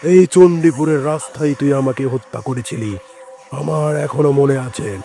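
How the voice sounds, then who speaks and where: A man's voice: medium pitch, normal speed, gravelly, dark tone, slight background noise, voice pushed down, close to an everyday voice. A man voicing an angry, vengeful male corpse in a horror cartoon story, menacing and accusing. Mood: furious, accusing.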